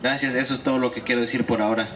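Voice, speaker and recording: A man giving a fluent, steady consecutive interpretation at a public gathering. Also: medium pitch, very fast, background noise. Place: outdoor gathering.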